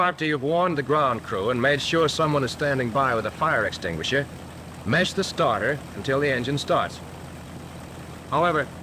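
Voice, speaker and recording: An American man narrating a scripted 1940s instructional film in a crisp, methodical manner, on a vintage film soundtrack. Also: medium pitch, pushed and bright, a little hiss or hum.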